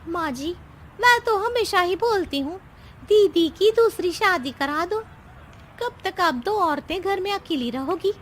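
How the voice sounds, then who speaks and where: A woman's voice: very high-pitched, animated, unhurried, slight background noise. A woman playing a timid, nagging younger Indian woman in a comic cartoon story, pleading and complaining. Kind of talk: comic Hindi animated story acting.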